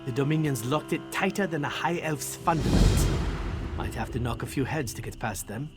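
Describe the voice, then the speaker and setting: An actor playing a gruff, sardonic military commander in a fantasy video game, speaking bluntly with folksy humor. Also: male voice, mid-range voice, expressive, normal speed, natural voice. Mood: businesslike. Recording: game dialogue over prominent music.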